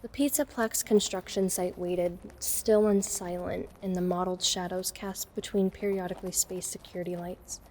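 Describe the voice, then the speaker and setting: A woman playing an uneasy, introspective teenage girl who narrates an audio drama in a measured, reflective first person. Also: on the low side, little pitch movement, dark tone, slight background noise, close to an everyday voice, poor audio. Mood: pensive.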